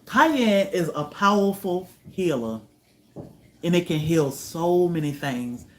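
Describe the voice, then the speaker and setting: A man from Virginia, hosting a homestead livestream, chatting with viewers in a relaxed way, often letting his voice trail off softly. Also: sits high, takes it slowly, poor audio. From Virginia, USA. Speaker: homestead channel host. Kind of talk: livestream chat answering viewers.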